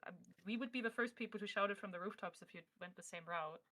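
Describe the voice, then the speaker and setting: A woman's voice: medium pitch, fast, sharp tone. A woman hosting a casual livestream and passing on questions, informal and encouraging. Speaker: livestream host relaying chat questions.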